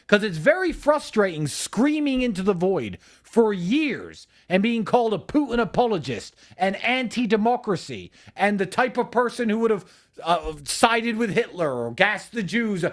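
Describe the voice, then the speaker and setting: A man hosting a live stream, ranting to his audience in an angry, profane and emphatic way, swinging between shouting and muttering. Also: high voice. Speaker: livestream host.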